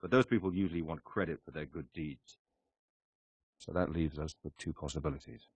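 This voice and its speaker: A man's voice: rumbling low, a little fast, husky, no room sound, heavily acted. A man with a British accent playing a composed, determined secret agent in a game cutscene, speaking in a terse, dry, businesslike way. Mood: businesslike, resolute.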